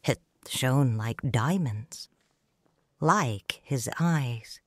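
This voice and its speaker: A woman narrating a story in first person, calm and reflective. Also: rumbling low, extremely slow, dry recording, clean studio sound.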